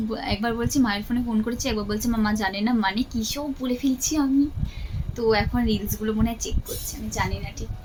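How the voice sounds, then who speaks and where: A woman's voice: on the high side, steady tone, quick pace, darkish tone, rough recording. A young adult woman, chatting casually and insistently on the phone as a vlogger. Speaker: vlogger making a prank call. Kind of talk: casual phone chat with a friend. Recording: faint background noise.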